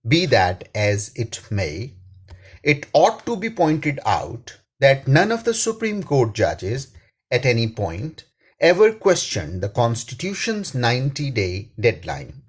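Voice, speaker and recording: Slow, husky Pakistani man reading formally. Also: mid-range voice, wildly swooping pitch. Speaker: reader, attorney and law professor. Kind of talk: scripted read-aloud of a newspaper editorial.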